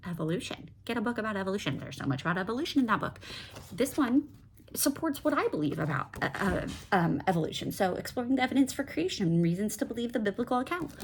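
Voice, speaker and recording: A woman's voice: a little high, background noise. A woman talking off the cuff in a casual book-review vlog, rambling a little, cutting into her own sentences and putting questions to herself. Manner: rambling, self-interrupting, asks herself questions. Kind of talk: casual book-talk vlog, unscripted chat.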